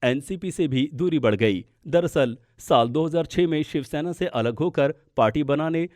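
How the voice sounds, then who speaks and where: A man's voice: a little high, animated, moderate pace, darkish tone, dry recording, clean studio sound. A man narrating a scripted political explainer as a news podcast presenter.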